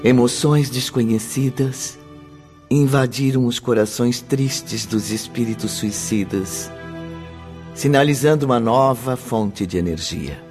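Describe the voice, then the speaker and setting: A man with a Brazilian accent narrating a scripted radio drama in a solemn, dramatic, measured manner. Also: medium pitch, darkish tone. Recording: music bed behind the narration.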